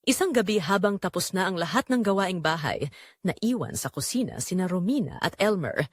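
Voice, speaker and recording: A woman's voice: lowish voice, lively intonation, normal speed, husky, no echo, clean studio sound. A woman with a Filipino accent narrating a scripted dramatic story as a storyteller.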